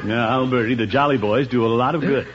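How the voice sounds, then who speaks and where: An actor playing a pompous, good-natured middle-aged uncle who blusters and gets flustered in a 1950s radio comedy. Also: male voice, medium pitch, a little fast, close to an everyday voice. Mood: defensive. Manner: blustering, hesitant, easily flustered. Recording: muffled, noisy 1950s radio recording.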